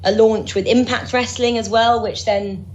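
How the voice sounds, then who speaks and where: A woman's voice: fairly high pitch, fairly even intonation, normal speed, darkish tone, lo-fi sound, slight background noise. A woman from Norwich who works as a sports presenter, chatting upbeat in an interview with lots of fillers and restarts. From Norwich, England. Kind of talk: casual interview chat.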